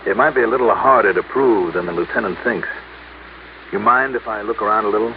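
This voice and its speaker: Cool american detective, low gravelly voice, assured, old noisy radio show. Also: male voice, brisk, phone-quality sound. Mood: cool, probing. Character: shrewd, cool American insurance investigator. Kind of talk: old-time radio drama acting and first-person narration.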